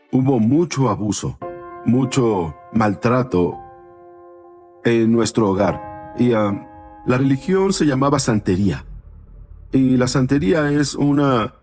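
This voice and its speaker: A man from Panama speaks earnestly as an interview guest. His voice sits at an ordinary middle pitch, and he tells his story in halting phrases. Soft music plays behind him. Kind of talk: telling his life story.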